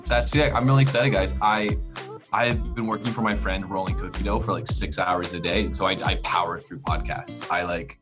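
Male podcast guest, Canadian accent, low register, enthusiastic and halting conversational delivery. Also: fairly even intonation, over music. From Alberta, Canada. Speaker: interview guest, actor. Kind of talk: casual podcast chat.

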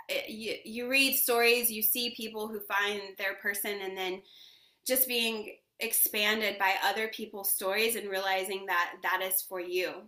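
A woman with a bright, clear voice talks as a guest on an interview show. She is effusive and laughs often while she speaks about spiritual matters. Her voice carries a roomy echo from the space she was recorded in. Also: mid-range voice. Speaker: yoga teacher and podcast guest. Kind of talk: casual interview chat.

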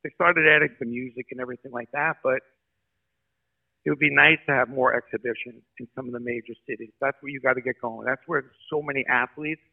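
Guest: brisk New man, quick talker, tinny phone-line sound. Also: mid-range voice, vocal fry. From Brooklyn, New York. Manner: brisk, animated storyteller. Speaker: sports marketing guest. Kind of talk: podcast interview.

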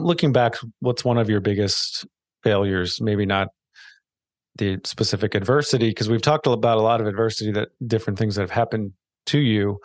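Host guy, lowish voice, lots of mm-hmms and sures, dry mic. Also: dry recording. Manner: lots of affirming backchannels. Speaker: podcast host. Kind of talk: interview questions.

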